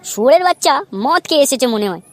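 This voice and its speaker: A man voicing a foul-mouthed, short-tempered cartoon father who scolds and threatens, in a pitched-up voice. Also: animated, very fast, a little hiss or hum. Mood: angry. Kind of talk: crude comedy cartoon dialogue. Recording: loud music behind the voice.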